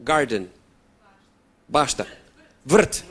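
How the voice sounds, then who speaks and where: A man's voice: medium pitch, big pitch swings, very slow, background noise. A man in his thirties doing a stand-up comedy routine, talking to the crowd and mimicking sounds and voices. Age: 30s.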